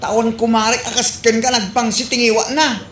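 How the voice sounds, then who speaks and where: Woman acting high-pitched scolding village woman, echoey. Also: little pitch movement, moderate pace, lightly acted. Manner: scolding, exclamatory. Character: scolding, blunt village woman, fed up neighbour. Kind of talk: Ilocano comedy drama acting. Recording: echoey room with background noise.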